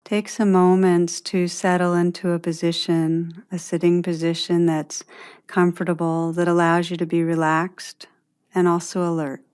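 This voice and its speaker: A woman leading a guided meditation, speaking gently and deliberately, with spacious pauses between phrases. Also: lowish voice, level tone, clean tone.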